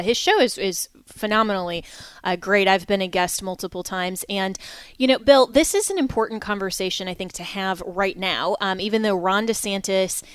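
A woman hosting a talk radio interview, talking in a measured, reasoned way with frequent restarts. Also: medium pitch, clear, resonant tone, no room sound. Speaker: host.

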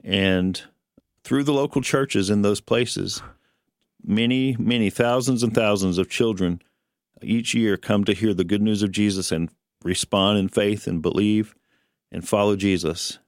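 A middle-aged man with a fairly low voice talks as the co-host of a religious radio show. His style is conversational, and the recording is dry and free of echo. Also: unhurried.

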